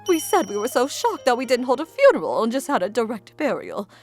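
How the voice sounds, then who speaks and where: Woman acting an anxious, very expressive mother. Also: on the high side, fairly quick, faint music behind. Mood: explaining. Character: gentle, anxious, apologetic middle-aged mother. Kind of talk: anime-style manga dub acting.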